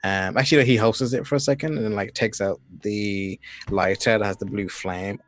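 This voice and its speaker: A man's voice: on the low side, fairly even intonation, fairly quick. A man playing a tabletop game, hesitant and full of fillers. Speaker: player. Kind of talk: tabletop roleplay over a call.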